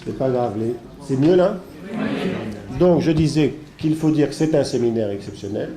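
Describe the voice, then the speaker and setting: A man with a congested head cold gives a halting, digressive introduction as host of a seminar. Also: medium pitch, a crowd in the background. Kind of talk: spoken introduction of a seminar, semi-improvised. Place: seminar room with an audience. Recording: echoey room with faint background noise.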